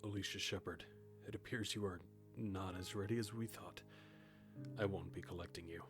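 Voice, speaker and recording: A very low-voiced, solemn grim reaper, acted by a man for an audio drama. He speaks in a calm, measured, matter-of-fact way, with music clearly playing behind his words. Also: lightly acted. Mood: measured, detached.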